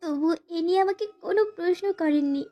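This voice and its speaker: নারীকণ্ঠে অভিনীত খুব চড়া গলার দুঃখী তরুণী বউ. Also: female voice, very high-pitched, fairly quick, warm tone. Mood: hesitant, confessing. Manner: formal, submissive address to her husband. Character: young wife and student, timid, sorrowful, pleading narrator. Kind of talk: dramatized first-person romantic story narration.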